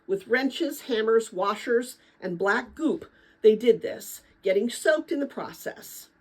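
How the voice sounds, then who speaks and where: Female storybook narrator, animated and expressive, with a husky grain, reading middle-grade fiction dramatically. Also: a little high, slow pace. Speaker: read-aloud narrator.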